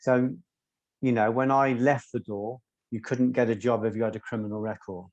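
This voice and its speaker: A soft-spoken man, a guest telling stories in an interview in a measured, articulate way. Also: medium pitch, steady tone, dry recording, muffled recording. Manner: measured, articulate, occasional ums. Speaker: guest, former doorman. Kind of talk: reflective interview storytelling.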